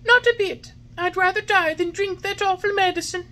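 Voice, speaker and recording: A woman doing a shrill whiny puppet boy. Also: moderate pace. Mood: whining. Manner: shrill, whining, loud.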